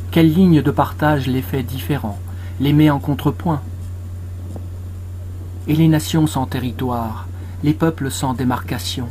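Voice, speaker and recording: A man's voice: mid-range voice, darkish tone, a little hiss or hum, poor audio. A man recites poetry solemnly as a film voice-over, declaiming in short, separated phrases.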